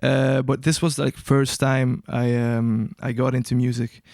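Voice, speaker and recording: Smooth-voiced young man, hesitant and searching. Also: medium pitch, little pitch movement, unhurried, darkish tone, no room sound, clean studio sound. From Albania. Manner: hesitant, searching for words, many restarts. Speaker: guest musician. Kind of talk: casual interview answers.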